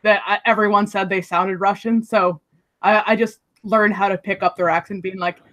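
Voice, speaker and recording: A woman, Spanish her first language, chatting casually on a voice call, talkative and fond of arguing, with quick laughs. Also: mid-range voice, steady tone, fairly bright tone. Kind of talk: casual voice chat.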